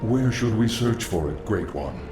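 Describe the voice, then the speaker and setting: An actor playing a solemn, knowing undead lich sorcerer in a video game, formal and measured, with a ghostly echo. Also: male voice, on the low side, animated. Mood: reverent. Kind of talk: video game cutscene voice acting. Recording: echoing distant voice over loud music.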